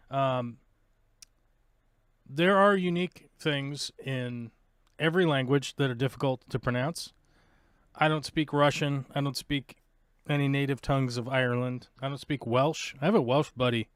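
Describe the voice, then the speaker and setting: A man's voice: mid-range voice, dry recording, clean studio sound. An American man hosting a live stream, chatting casually with lots of fillers and jokes. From United States. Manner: rambling, joking, many fillers. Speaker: live show host.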